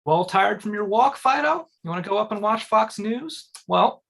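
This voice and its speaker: A man's voice: sits high, lively intonation, moderate pace, creaky, fairly bright tone, full character voice. A man playing a cheery dog owner chatting to his pet in a comedy table read.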